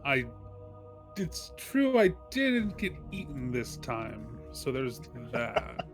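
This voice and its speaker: A man of medium pitch talks casually as a player in a tabletop game. His manner is tentative and questioning, and music plays clearly behind him. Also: very animated, takes it slowly.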